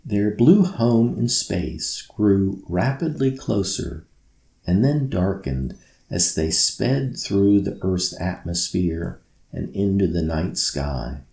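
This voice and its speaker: A deep-voiced man narrates a storybook at a slow, measured pace. His delivery is gentle, suited to bedtime, with a gravelly fry in the voice. It is scripted narration. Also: lively intonation. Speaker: author reading own story. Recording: roomy, echoey sound.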